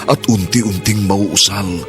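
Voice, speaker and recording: Theatrical male radio announcer over music. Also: sits low. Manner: solemn, theatrical, drawn-out emphasis. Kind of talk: dramatic scripted radio narration. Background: prominent music.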